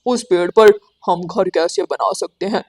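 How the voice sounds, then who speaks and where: A woman's voice: on the low side, a little slow, a little mellow, pitched below the performer's own voice. A woman voicing a scheming, irritable male crow in a cartoon, blunt and agitated. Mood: scornful.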